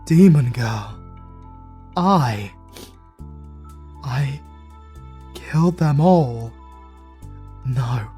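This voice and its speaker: A man with a British accent playing a grief-stricken male demon hunter who speaks haltingly and breaks into anguished shouts. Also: mid-range voice. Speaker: voice actor. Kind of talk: fantasy ASMR audio roleplay.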